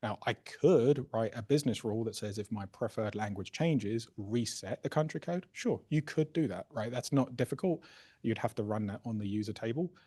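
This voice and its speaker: A man presenting a software workshop, casually explaining a live demo and often checking in with "right?" and "okay?". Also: mid-range voice, moderate pace, slightly rough. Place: workshop room.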